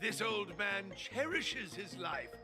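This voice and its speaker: A man's voice: high voice, expressive, slow. A man voicing an elderly, dutiful guild master who speaks formally and with resignation. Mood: resolute. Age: older. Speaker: game character. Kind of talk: video game voice acting. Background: game music.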